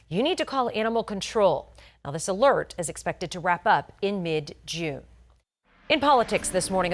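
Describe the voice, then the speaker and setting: A woman delivers morning television news in a mid-range voice. Her delivery is polished and scripted, with emphatic stress on important words, as an anchor does. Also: medium pitch.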